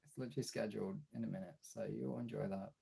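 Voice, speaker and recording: Male streamer, gravelly and raspy, confessional off-the-cuff delivery with frequent pauses and casual swearing. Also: fairly low pitch, steady tone, dark tone. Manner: halting, candid, swearing. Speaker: live streamer and voice actor. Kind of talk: casual confessional livestream chat. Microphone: phone.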